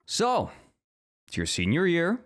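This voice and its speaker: A man playing a bookish, earnest, easily flustered professor in an ASMR roleplay, with a measured, articulate and slightly stuffy way of talking. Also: fairly high pitch, huge pitch swings, a little hiss or hum, heavily acted. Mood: encouraging, attentive.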